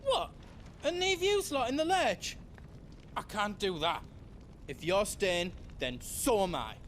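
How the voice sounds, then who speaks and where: An actor playing a nervous, chatty merchant in a game cutscene. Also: male voice, high voice, big pitch swings, slow pace, fairly bright tone, a little hiss or hum, exaggerated voice. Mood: anxious. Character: nervous chatty male merchant, young-ish. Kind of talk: video game cutscene acting.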